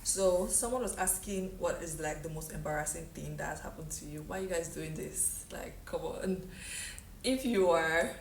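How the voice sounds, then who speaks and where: A woman's voice: low voice, moderate pace, roomy sound, recorded from a distance, slight background noise, low-quality recording. A young Nigerian woman vlogger answering viewer questions in a breezy, chatty way, full of "like" and "okay?". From Delta State, Nigeria. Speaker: lifestyle vlogger. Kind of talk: casual get-to-know-me Q&A.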